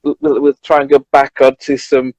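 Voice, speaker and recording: A man with a British accent in a casual conversation, halting and repeating words as he finds his point. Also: mid-range voice, level tone, darkish tone, no echo. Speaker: journalist co-host. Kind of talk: casual chat.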